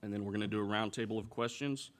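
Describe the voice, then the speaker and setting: Low-voiced man chairing a meeting. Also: fairly even intonation, rapid-fire, slight background noise. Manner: procedural, plainspoken. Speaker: school board president chairing a meeting. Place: school board meeting room.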